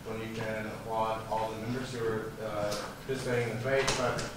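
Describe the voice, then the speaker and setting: Soft-spoken man moderating in an echoey hall. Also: on the low side, level tone, brisk. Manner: soft-spoken, hesitant with fillers. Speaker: debate moderator. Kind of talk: explaining rules and reading questions. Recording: echoey hall, from far off, noisy.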